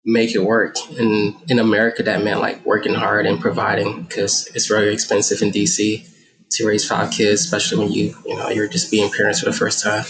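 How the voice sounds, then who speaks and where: A man in his thirties from Guyana, a podcast guest talking in a relaxed, reflective way with many fillers. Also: lowish voice, poor audio. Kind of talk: casual interview talk.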